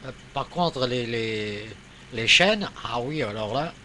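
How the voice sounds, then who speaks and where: Bright-voiced man rambling, noisy recording. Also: medium pitch, expressive, takes it slowly. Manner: rambling, searching for words. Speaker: amateur radio operator. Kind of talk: casual radio chat.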